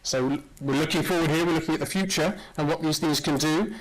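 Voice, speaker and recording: A man's voice: a little high, fast, low-quality recording. A man hosting a conference in an informal, hesitant and jokey way. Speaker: conference host introducing speakers.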